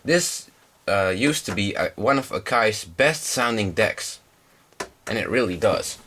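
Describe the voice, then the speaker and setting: A man's voice: lowish voice, animated, measured pace, sharp tone, a little hiss or hum. A young man with a slight cold gives a casual, informal demonstration, explaining things in a hesitant way. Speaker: hobbyist presenter.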